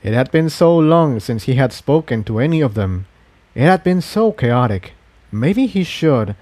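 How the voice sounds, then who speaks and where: A man reading fiction as a dramatic, measured audiobook narrator. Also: mid-range voice, big pitch swings, darkish tone, no room sound.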